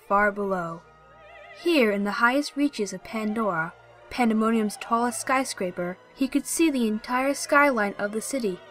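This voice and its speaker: A woman's voice: a little high, fairly bright tone. A woman reading scripted, descriptive fantasy narration for an audio play.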